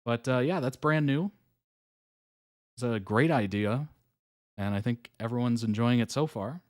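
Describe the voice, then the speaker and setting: A man's voice: medium pitch, measured pace, no echo, studio quality. A man hosting a live reaction stream, chatting casually with viewers, laughing often and using lots of fillers.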